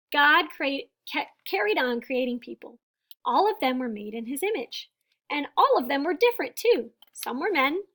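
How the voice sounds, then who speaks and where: High-voiced woman reading to children. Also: fairly bright tone. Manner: deliberate, emphatic phrasing with explanatory asides to young listeners. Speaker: storybook reader and teacher. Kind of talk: children's picture book read-aloud.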